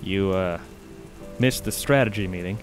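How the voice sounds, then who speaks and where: A man's voice: low-pitched, normal speed, soft background music, close to an everyday voice. A man playing a weary, determined mage in a fantasy audio drama.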